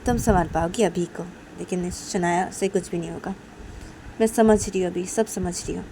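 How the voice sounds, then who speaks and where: A woman's voice: on the low side, fairly even intonation, moderate pace, warm tone, a little hiss or hum, natural voice, rough recording. A woman voicing an exasperated mother in a story, nagging insistently and repeating herself. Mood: disappointed, reproachful. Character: nagging, exasperated, scolding mother of young women.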